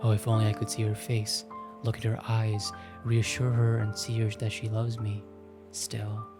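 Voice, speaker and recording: A man's voice: on the low side, completely flat delivery, moderate pace, over music. A man reading a visual novel aloud as host, with theatrical narration and joking asides.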